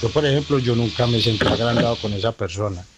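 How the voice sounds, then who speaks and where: A man's voice: medium pitch, quick pace. A man with a Colombian accent calling into a radio show, earnest and repeating his points for emphasis. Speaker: caller. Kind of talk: phone-in comment. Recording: noisy phone call.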